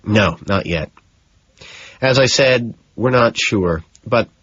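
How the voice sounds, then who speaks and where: A man's voice: lowish voice, lively intonation, takes it slowly, a bit bright, husky, no room sound, muffled recording, exaggerated voice. A man voicing an earnest, slightly sheepish detective in an audiobook. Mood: dejected. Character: earnest, rumpled, sheepish male detective questioning a suspect. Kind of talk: audiobook character dialogue.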